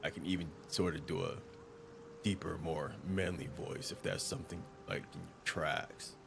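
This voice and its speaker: A young man streaming a game, chatting casually with viewers in a smooth, upbeat, joking way. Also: sits low, steady tone, normal speed, noisy background. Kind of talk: casual livestream chat.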